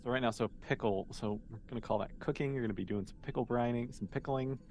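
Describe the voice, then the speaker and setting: A man's voice: mid-range voice, fast, gravelly, darkish tone. A man speaking casually and informally as a game master, explaining and narrating a tabletop roleplaying game.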